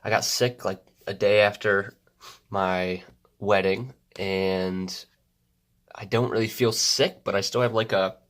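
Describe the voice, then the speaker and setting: A man's voice: lowish voice, fairly even intonation, takes it slowly, a bit bright. A stuffed-up young man talking casually to his camera at home, rambling with many ums and restarts. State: congested, stuffed-up nose. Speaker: vlogger. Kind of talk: casual vlog talk to camera.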